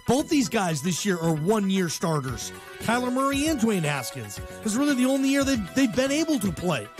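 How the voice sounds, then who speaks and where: A man's voice: high voice, sing-song, normal speed, over music. An American man hosting a sports talk radio show, giving opinions in a casual, slangy, emphatic conversational way.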